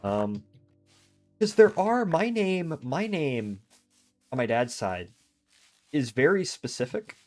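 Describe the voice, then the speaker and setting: A man's voice: on the high side, sing-song, takes it slowly, pauses often, darkish tone, faint music behind. An American man streaming a game, chatting casually and thinking aloud in long digressions. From United States. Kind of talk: casual chat while gaming.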